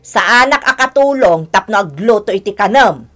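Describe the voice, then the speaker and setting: A woman acting a lazy, scolding young wife and harsh aunt in a radio drama, sharp and complaining. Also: mid-range voice, expressive, normal speed, natural voice.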